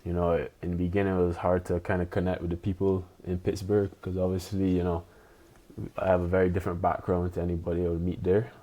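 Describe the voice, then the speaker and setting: A man in his twenties from Barbados, a pro tennis player chatting as a podcast guest, relaxed and loose with lots of "you know". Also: sits low, quick pace, rough recording. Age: 20s. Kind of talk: casual interview chat.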